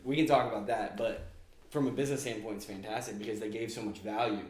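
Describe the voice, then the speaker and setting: A laid-back man with a fairly low voice chats casually, dropping "you know" every few phrases. The recording has a roomy echo. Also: steady tone, quick pace. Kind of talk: casual chat.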